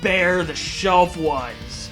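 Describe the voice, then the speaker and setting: A man's voice: high voice, lively intonation, drawn-out words, bright, forceful tone. A man riffing casually as a comedy co-host, sarcastic and full of swearing. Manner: sarcastic, profane. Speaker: co-host commentator. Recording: music behind the voice.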